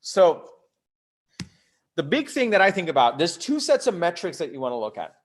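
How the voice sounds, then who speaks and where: A man's voice: a little high, very animated, normal speed. A man from Bangladesh and the US giving a live online coaching talk, conversational and emphatic, often checking in with rhetorical questions. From Bangladesh until ten, then the US. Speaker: host, startup coach.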